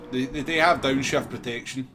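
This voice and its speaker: A man's voice: mid-range voice, fairly even intonation, normal speed. A man hosting a gaming livestream, chatting casually and replying to viewers as he goes. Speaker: livestream host. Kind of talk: casual gaming stream chat.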